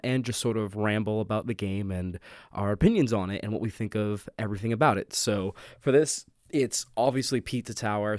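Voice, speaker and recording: A man's voice: lowish voice, dry recording, clean studio sound. A man hosting a casual podcast who rambles off the cuff with many fillers and restarts, and sounds a bit nasal from a head cold. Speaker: podcast host.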